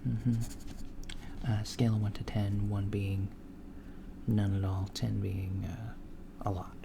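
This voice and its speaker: Man as a gravelly, slow alien doctor for ASMR roleplay, hushed and hesitant, over audible background hiss. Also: on the low side, little pitch movement. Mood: calm clinical. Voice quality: soft, hushed, partly whispered. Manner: unhurried, hesitant, with many fillers and murmured acknowledgments. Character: calm alien doctor examining a human patient.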